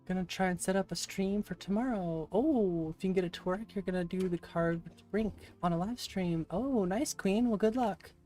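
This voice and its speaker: Raspy-voiced streamer chatting with viewers. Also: mid-range voice, normal speed, few pauses, warm tone, soft background music. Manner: chatty, reacts aloud and answers chat. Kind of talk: casual live-stream chat while gaming. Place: home room.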